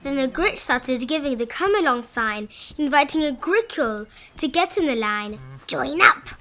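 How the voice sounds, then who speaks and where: A woman reading a children's storybook aloud in an expressive, dramatizing manner. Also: high voice, moderate pace. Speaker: read-aloud narrator of children's picture books.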